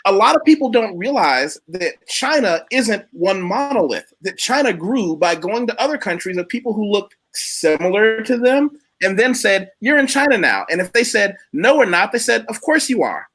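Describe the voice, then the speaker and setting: A man's voice: high voice, sing-song, normal speed, few pauses, fairly bright tone. A man hosting a casual live chat, speaking in a blunt, opinionated storytelling manner.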